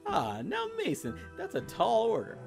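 A woman's voice: a little high, wildly swooping pitch, moderate pace, gravelly, music in the background, exaggerated voice. A woman playing a glib, self-admiring showboat sidekick in an anime-style game, chatty and flippant. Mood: breezy.

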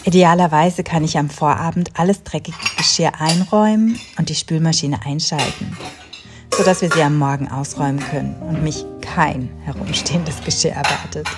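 A woman in her thirties calmly narrating a home cleaning routine in a voice-over, giving step-by-step tips straight to the viewer. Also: deep voice, moderate pace, loud music behind the voice. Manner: calm, instructive, talks directly to the viewer. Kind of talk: calm scripted voice-over narration.

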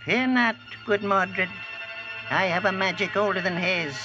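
An actor playing an old, scheming, vengeful wizard in a radio drama, speaking archaic English in a portentous manner. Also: male voice, high voice. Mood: ominous, scheming. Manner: slow, portentous, archaic diction. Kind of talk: 1940s radio drama acting. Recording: muffled vintage radio, music underneath.

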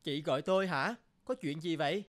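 Male actor as poor young woodcutter, cartoon folktale, earnest, polite, rather high-pitched for a man. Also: brisk, fairly bright tone, lightly acted. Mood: polite, surprised.

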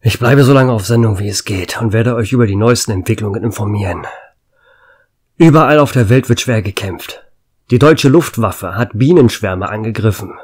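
A man's voice: lowish voice, moderate pace, close to an everyday voice. A man playing a doomed small-town radio host. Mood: urgent. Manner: urgent broadcast announcing, turning quiet and resigned. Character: male small-town radio host Gary, facing doom. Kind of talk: German fan radio drama acting.

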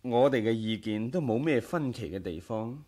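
A man's voice: medium pitch, animated, normal speed, dark tone, lightly acted. Man acting a dignified, devout exiled leader. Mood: earnest, devout. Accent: Hong Kong Cantonese. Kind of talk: 1980s radio drama acting.

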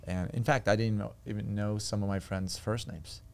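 A man in his thirties with a Turkish accent, his voice a little low and gravelly with a fry at the ends. He talks in a relaxed, rambling way, full of fillers, as a guest on a podcast. The sound is dry and close, from a studio boom mic. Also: moderate pace, dry recording. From Turkey. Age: 30s. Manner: relaxed, chatty storytelling with frequent fillers. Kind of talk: casual interview chat. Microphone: studio boom mic with headphones.

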